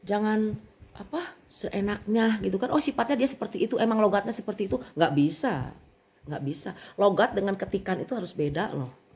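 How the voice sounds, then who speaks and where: Middle-aged woman vlogger, Sundanese accent, animated and indignant as she vents. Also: mid-range voice, a little fast. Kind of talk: venting monologue.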